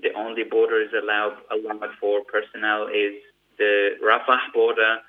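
Guy from gaza on a call, lowish voice, earnest, long rambling answers, sounds tinny like a phone. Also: completely flat delivery, normal speed. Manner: earnest, long explanatory answers with many restarts. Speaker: guest. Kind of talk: interview answer.

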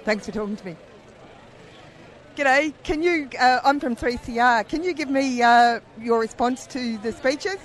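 A man reporting for community radio, briskly asking people questions at an outdoor rally. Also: very high voice, fairly bright tone. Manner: brisk, friendly, prompting questions. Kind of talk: street vox pop interviewing.

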